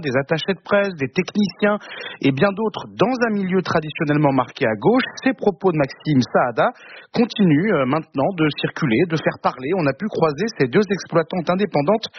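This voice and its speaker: A man reports live as a culture correspondent on radio news. His voice sits a little high. The sound is muffled, with clear noise over it.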